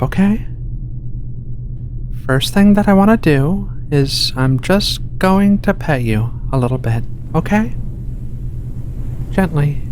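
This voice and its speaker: A performer voicing a gentle giant furry alien researcher in a soft, hushed, soothing ASMR roleplay. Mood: tender, coaxing. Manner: soothing, unhurried, reassuring, coaxing. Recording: steady rumbling ship ambience behind voice. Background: low engine rumble of a spaceship.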